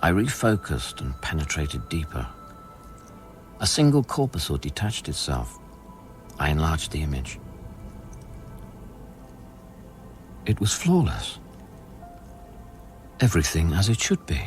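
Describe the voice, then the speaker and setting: An actor playing a brooding, guilt-ridden man in a radio drama, with a measured, intimate and hushed delivery. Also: a bass voice, huge pitch swings, soft background music, full character voice. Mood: absorbed, grave. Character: brooding, guilty man soothing his troubled lover. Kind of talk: radio drama acting with introspective narration.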